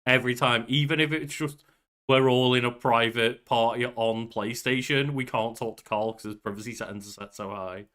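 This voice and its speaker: A man's voice: medium pitch, steady tone, normal speed, fairly bright tone. A young man chatting casually as co-host of a podcast about games. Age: young adult. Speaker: podcast co-host and game streamer.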